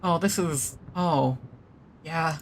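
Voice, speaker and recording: Slow-talking woman reacting to a game. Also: low voice, very noisy. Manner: slow, reactive, laughing asides. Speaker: streamer. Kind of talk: casual live-stream game commentary. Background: loud game music.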